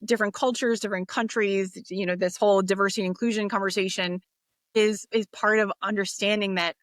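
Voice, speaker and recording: A woman from the United States, a podcast guest, talking in a relaxed, reflective way with fillers and restarts. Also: medium pitch, fairly quick, dry recording, clean studio sound. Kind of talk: casual interview talk.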